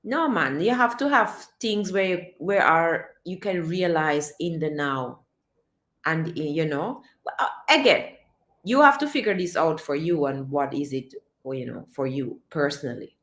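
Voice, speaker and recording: A woman's voice: sits low, unhurried. Encouraging woman in an echoey room. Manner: animated, encouraging, repeats herself. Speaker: vlogger reading live chat. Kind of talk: casual livestream chat.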